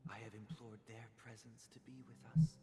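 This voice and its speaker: An actor playing a calm, solemn male advocate who hears confessions in a formal, measured, priestly way in a video game. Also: mid-range voice, lightly acted. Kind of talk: scripted game voice acting. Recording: loud music behind the voice.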